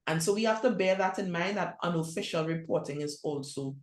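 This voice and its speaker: A middle-aged woman with a Trinidadian accent leads a conference session on youth ministry. Her voice sits fairly low, and she talks in a conversational, direct way, tossing out rhetorical questions as she goes. The sound carries a noticeable room echo. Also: brisk. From Trinidad and Tobago. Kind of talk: conference presentation.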